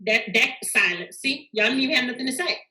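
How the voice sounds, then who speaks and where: Woman, bright tense voice, frank teasing manner, echoey room, video call. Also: high voice, animated, fast talker. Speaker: guest psychologist. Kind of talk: casual panel chat.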